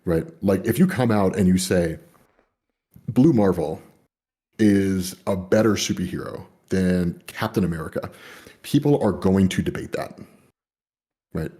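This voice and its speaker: A man's voice: low-pitched, measured pace, pauses often, warm tone. A man in casual conversation, rambling with lots of fillers and the odd swear. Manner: rambling, many fillers and "you know".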